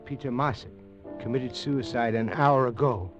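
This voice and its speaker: An actor playing a coolly polite police inspector with formal, insinuating speech in a 1940s radio drama. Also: male voice, mid-range voice, moderate pace, natural voice. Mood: smooth, needling. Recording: dull old radio recording, music behind.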